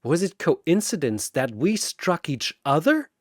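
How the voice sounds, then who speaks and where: A man's voice: fairly high pitch, sing-song, unhurried, dry recording, studio quality, voice pushed up. A man voicing a tough, stubborn superpowered woman with a resolute manner in a battle story. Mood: incredulous.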